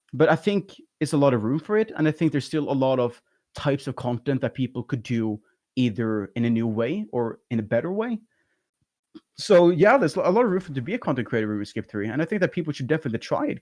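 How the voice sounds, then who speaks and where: A man's voice: medium pitch, lively intonation, fast talker, a little mellow. A man with a light voice, a talkative guest in a casual interview who rambles and laughs often. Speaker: guest, YouTube content creator.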